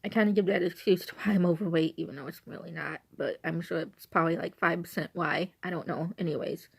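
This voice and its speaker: A young woman from Illinois with an Illinois accent, her voice hoarse and her nose stuffy. She talks casually to a handheld camera from her couch at home, rambling and circling back over her own sentences. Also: lowish voice, steady tone, quick pace. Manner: rambling, repeats and restarts herself. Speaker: vlogger.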